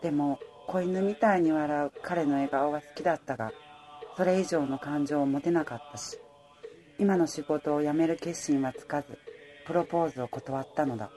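A woman playing the wistful heroine of a radio romance, a female apparel worker narrating her own love story in a soft, reflective way. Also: low-pitched, moderate pace, faint music behind, close to an everyday voice. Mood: wistful, grieving. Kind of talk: radio drama narration and dialogue.

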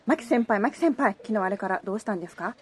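A woman playing an excitable, nosy young junior coworker in a Japanese radio drama. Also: medium pitch, quick pace, husky. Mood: nosy, excited.

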